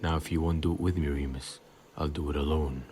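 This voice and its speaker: A man's voice: a bass voice, fairly even intonation, fairly quick, warm tone, husky. A man playing a bitter, vengeful escaped prisoner wizard in an audio drama, brooding and menacing in a theatrical way. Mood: impatient, vengeful. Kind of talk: fan audio drama acting.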